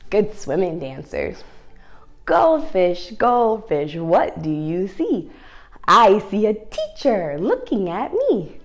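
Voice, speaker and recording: Woman teaching kids ballet, very animated pitch. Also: slow pace. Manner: encouraging, instructive, sing-song questions to children. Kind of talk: read-aloud story time and dance lesson for young children.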